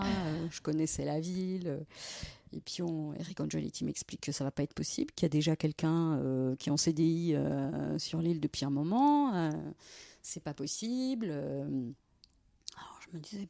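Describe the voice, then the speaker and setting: A woman in her forties from Brussels, a lawyer telling stories as a podcast guest, lively and laughing often. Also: low voice, gravelly, no room sound, studio quality. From Belgium, Brussels. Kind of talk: casual storytelling interview.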